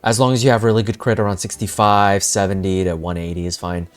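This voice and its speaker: Low-voiced casual male game streamer. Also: little pitch movement, fast. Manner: informal, conversational. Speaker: game streamer reacting to a quest. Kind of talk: casual livestream chat.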